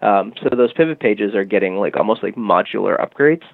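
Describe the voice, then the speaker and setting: A man's voice: on the low side, animated, a little fast, vocal fry, no echo. A man interviewed as a guest, explaining his company's product in casual talk, full of "you know" and "um". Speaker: guest from a music marketing company. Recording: tinny phone-line call.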